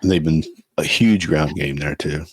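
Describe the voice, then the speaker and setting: A man with an extremely low, deep voice co-hosts a casual political podcast. He gives his predictions in a measured, thoughtful way. He is recorded close, with a dry sound. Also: fairly even intonation, no room sound. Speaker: podcast co-host.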